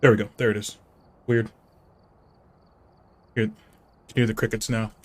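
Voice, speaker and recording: A man's voice: on the low side, flat delivery, measured pace. Nasal-voiced male streamer. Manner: rambling, talks to himself and greets chat. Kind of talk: casual live-stream chat while setting up.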